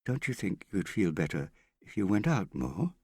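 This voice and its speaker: A man voicing a timid, kindly girl in an audiobook, with a gentle, hesitant and apologetic manner. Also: low voice, very animated, normal speed, breathy edge, no echo, studio quality, pitched above the performer's own voice, exaggerated voice. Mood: soothing. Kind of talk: audiobook character acting.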